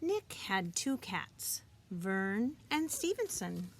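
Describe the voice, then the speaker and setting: A woman reading a children's picture book aloud, expressively and deliberately, as a teacher would for young listeners. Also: mid-range voice, very animated, slight background noise. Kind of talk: children's storybook read-aloud.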